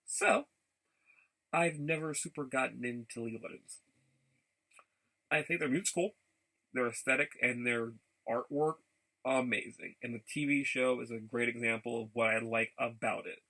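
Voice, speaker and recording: A man giving a casual, rambling vlog update in a gravelly voice, haltingly and often repeating his phrases. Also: mid-range voice, sharp tone, low-quality recording. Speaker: video blogger.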